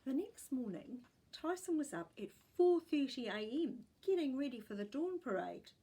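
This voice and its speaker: A woman with a New Zealand accent reading a children's story aloud in an expressive storytelling style. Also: sits high, moderate pace, slightly rough.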